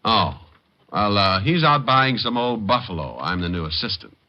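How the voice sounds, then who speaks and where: A dull, lo-fi old radio recording carries a cocky private eye. His voice is low and very animated, tossing off sardonic wisecracks with glib ease. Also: moderate pace. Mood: cool, needling. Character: cocky wisecracking male private detective posing as a butcher. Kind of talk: old-time radio detective drama acting.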